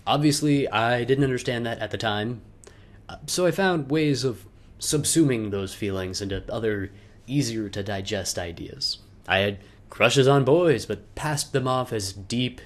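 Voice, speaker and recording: Young man, mid-pitched voice, dramatic letter reading, emphatic repeats, halting phrasing, wry asides. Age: young adult. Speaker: video letter narrator.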